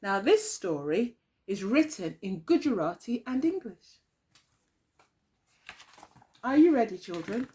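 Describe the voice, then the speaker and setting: A woman's voice: mid-range voice, very animated, slow pace, long pauses, warm tone. A woman teacher telling a story expressively to young children, phrasing carefully and putting questions to them. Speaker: early years teacher, storyteller.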